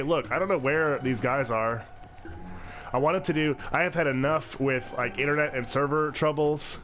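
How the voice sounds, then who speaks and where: A man with a blocked-up, cold-stuffed voice rambles casually while he plays. He stumbles and restarts often, tossing in fillers as he goes. His pitch sits in an ordinary middle range, and a clear hiss of noise runs under the whole recording. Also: fairly bright tone. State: congested from a cold, sniffling. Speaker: let's play channel host. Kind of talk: casual gameplay commentary.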